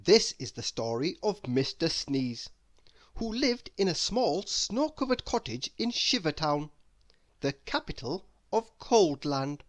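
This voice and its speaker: A storyteller reading a children's book aloud in an expressive narrating style. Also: mid-range voice, takes it slowly, no room sound, studio quality.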